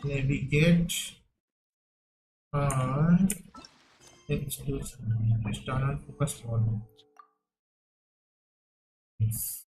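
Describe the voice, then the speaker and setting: A man's voice: medium pitch, extremely slow, pauses often, music in the background, poor audio. Indian-accented man casually commentating a game. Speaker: game streamer.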